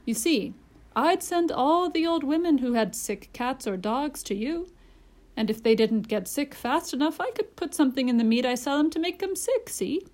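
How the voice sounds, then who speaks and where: Storybook tradesman, read by a woman: folksy, rough grammar, eager salesman, lively swings. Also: high-pitched, moderate pace, voice pushed up. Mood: admiring, persuasive. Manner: colloquial, ungrammatical, persuasive. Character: folksy, uneducated working man, eager and scheming.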